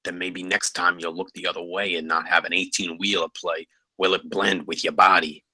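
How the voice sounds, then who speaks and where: A man's voice: low-pitched, little pitch movement, normal speed, pushed and bright, slightly rough, no room sound, full character voice, low-quality recording. A man reading the part of a wry, evasive campus security officer at a script table read.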